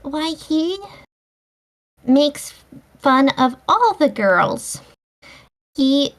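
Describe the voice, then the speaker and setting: A woman reading a storybook, voicing an earnest, dramatic schoolgirl who speaks with deliberate dignity. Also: high voice, sing-song, pitched above the performer's own voice, full character voice. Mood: soothing.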